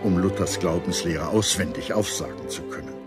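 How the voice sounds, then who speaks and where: A man reading scripted historical documentary narration in a measured, articulate storytelling manner. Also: deep voice, fairly even intonation. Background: background music.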